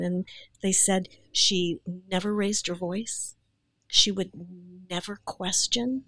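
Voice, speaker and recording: A woman, an actress, speaking in a podcast interview, animated, emphatic and earnest. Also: medium pitch, husky, no room sound.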